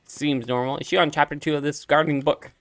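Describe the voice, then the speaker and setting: A man's voice: a little high, fairly even intonation, fast talker, creaky. A man doing casual, chatty game commentary, reacting aloud as he plays. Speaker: let's play streamer.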